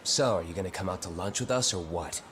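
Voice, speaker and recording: A man's voice: low voice, quick pace, heavy background noise, lightly acted. A man playing a cocky, hot-tempered teenage school bully in a video game, sneering and confrontational. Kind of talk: English video game voice acting.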